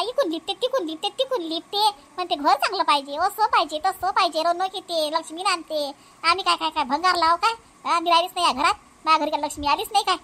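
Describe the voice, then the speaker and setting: Woman acting nagging older mother-in-law, comic cartoon, very high pitch, quick delivery, repetitive grumbling, Vidarbha Marathi accent. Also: sharp tone. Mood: spiteful complaining. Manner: scolding, repetitive complaining. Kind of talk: exaggerated comic cartoon dialogue.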